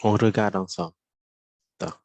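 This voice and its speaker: A man's voice: fairly low pitch, very animated, moderate pace, vocal fry, darkish tone, dry recording. A man teaching a French class, talking hesitantly with many fillers while setting up an exercise. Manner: hesitant, full of euh. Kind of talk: classroom instruction.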